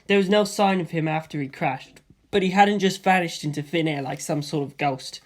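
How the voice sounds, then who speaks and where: A woman narrating a story in a steady, expressive audiobook reading. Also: deep voice, normal speed. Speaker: reader.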